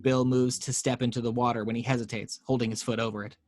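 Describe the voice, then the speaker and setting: A man's voice: medium pitch, little pitch movement, fast talker, darkish tone, no echo, studio quality. A man narrating screenplay action and scene directions as the reader in a scripted table read.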